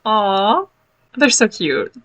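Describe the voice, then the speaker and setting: Expressive woman reacting, bright lively voice. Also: mid-range voice, drawn-out words. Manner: expressive, exclaiming. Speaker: reaction video host. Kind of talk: casual live reaction commentary on a cartoon. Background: cartoon soundtrack playing.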